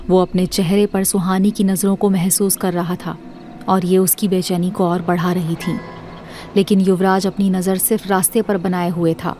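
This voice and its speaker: A woman's voice: lowish voice, dark tone, slight background noise. A woman reading scripted narration for an audio drama series.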